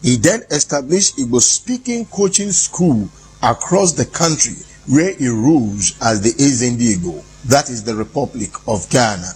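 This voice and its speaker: A man's voice: mid-range voice, expressive, moderate pace, a little mellow, poor audio. A man reading scripted documentary narration in a grand, formal manner.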